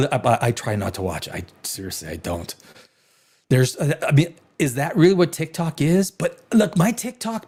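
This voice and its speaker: Middle-aged guy from canada hosting a chat show, rambly and animated, voice bounces up and down a lot, kinda high for a guy. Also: husky. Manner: animated, rambling, restarts often, swings from loud to near whisper. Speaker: host. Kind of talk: casual talk show chat.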